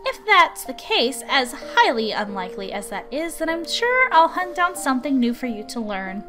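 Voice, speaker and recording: A woman's voice: sits high, very animated, over music. A woman voicing a patient, formal female researcher working as a substitute tutor in a visual novel. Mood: exasperated, determined. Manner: polite, measured, earnest. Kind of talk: visual novel character reading.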